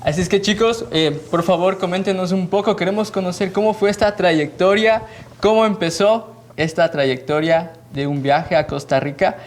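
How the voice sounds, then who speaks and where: A man hosting a podcast interview, his voice sitting a little high for a man. He greets his listeners cheerfully and asks his guests questions in a friendly way, laughing easily. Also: normal speed. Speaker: podcast host.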